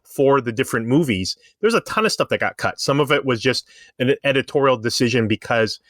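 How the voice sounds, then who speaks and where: A man's voice: mid-range voice, animated, a little fast, a bit bright, dry recording, studio quality. A man casually discussing pop culture as a podcast panelist, reasoning things through at length in an explanatory way.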